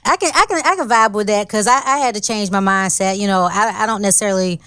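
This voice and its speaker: A woman hosting a casual chat show, talking in a loud, playful way and laughing easily. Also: mid-range voice, fast talker, clean tone, no echo, clean studio sound. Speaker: host.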